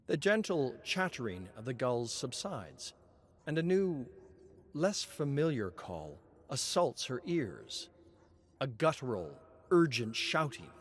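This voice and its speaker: A man reading scripted narration as a storyteller, solemn and measured, with dramatic pauses. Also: medium pitch, a little hiss or hum.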